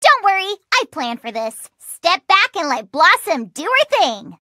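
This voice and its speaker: A bright, piping cartoon superhero girl who takes charge at once. Her tiny high voice leaps up and down with lively energy. She sounds sure of herself and calm in a crisis. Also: unhurried, no room sound, studio quality, exaggerated voice. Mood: confident. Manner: takes charge, reassuring, animated. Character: bossy, confident young superhero girl. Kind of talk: cartoon voice acting.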